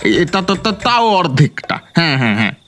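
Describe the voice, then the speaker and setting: A man's voice: on the high side, sing-song, a little fast, fairly bright tone, faint music behind. An actor playing a gluttonous, bumbling young son-in-law in a comic audio drama, whining and stammering as he feigns refined manners. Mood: flustered, polite.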